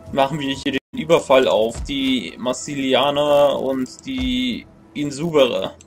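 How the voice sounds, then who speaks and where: A young man in his twenties casually commenting on a strategy game as he plays, thinking aloud, grumbling and correcting himself. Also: medium pitch. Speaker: let's play gamer. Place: small room indoors at a computer.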